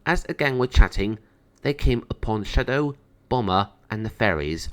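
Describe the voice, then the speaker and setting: A man's voice: lowish voice, lively intonation, normal speed, fairly bright tone, clean tone. A man reading scripted narration from a children's story aloud. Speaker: storybook reader.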